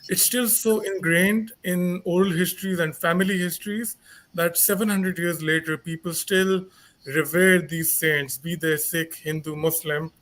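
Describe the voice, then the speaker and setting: Male moderator, halting delivery, slightly high voice. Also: fairly bright tone. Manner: hesitant, many filler pauses. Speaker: discussion moderator. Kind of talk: conversational panel discussion.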